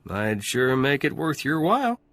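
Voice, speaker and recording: A man's voice: mid-range voice, very animated, moderate pace, fairly bright tone, no room sound. A man playing a breezy, cynical salvage dealer in a video game, with casual, wry, chatty delivery. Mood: wry, scheming. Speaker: salvage trader character.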